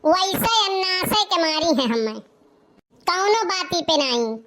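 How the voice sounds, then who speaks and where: A mischievous Awadhi village lad in a cartoon comedy. His voice is extremely high and squeaky, like a child's. He protests and wisecracks in a whiny, defiant, argumentative way. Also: very animated, measured pace, heavy background noise, exaggerated voice. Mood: whiny protesting. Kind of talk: comic cartoon dialogue.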